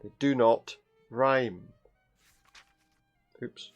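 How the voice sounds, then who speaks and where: A man's voice: mid-range voice, extremely slow, faint music behind. A British man commenting on a game, reading the on-screen text aloud with sarcastic, sweary asides.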